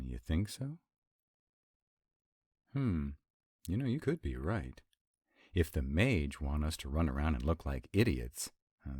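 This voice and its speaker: An extremely low, closely dry-recorded male vampire, acted out by a man for a roleplay audio. He is sarcastic, wry and easily irritated, with a rebellious streak. His delivery is conversational and intimate, swinging animatedly as he makes his drawling asides. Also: a little mellow, dry recording, full character voice. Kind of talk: intimate one-sided roleplay audio acting.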